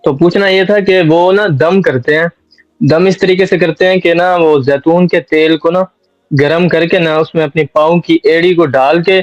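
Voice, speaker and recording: A man's voice: a little high, dry recording. Polite man, dull dry recording. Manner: deferential, polite. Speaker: questioner. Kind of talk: informal spoken questions. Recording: dull lo-fi close dry sound.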